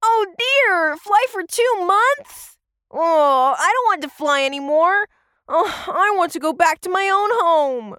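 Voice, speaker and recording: A woman voicing an excitable, wide-eyed little boy in a children's audio story. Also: extremely high pitch, slow, no room sound, clean studio sound, exaggerated voice. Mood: weary, dismayed.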